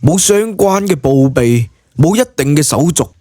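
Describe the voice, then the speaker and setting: Lively Hong Kong Cantonese male narrator. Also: on the high side, measured pace, dry recording, studio quality. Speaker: audiobook narrator. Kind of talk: dramatised scripted narration of a web novel.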